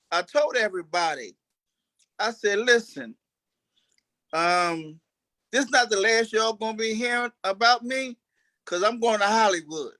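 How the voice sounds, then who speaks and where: A man chatting casually as an actor being interviewed, halting and often restarting his phrases. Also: sits high, bright, forceful tone. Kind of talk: casual chat.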